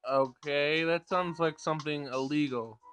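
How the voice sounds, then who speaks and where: A man's voice: on the high side, unhurried, fairly bright tone, soft background music. A man giving casual gameplay commentary and reading game dialogue aloud, with blunt, sarcastic asides.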